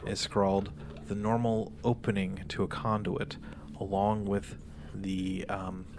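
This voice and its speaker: A man acting as game master, narrating a tabletop game casually and haltingly, with informal pauses. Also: lowish voice, level tone, vocal fry, noisy background.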